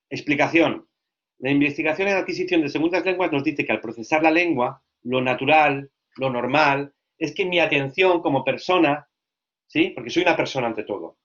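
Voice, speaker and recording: Lively male lecturer, lo-fi sound. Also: fairly high pitch. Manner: lively, asks frequent check questions. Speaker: language teacher trainer.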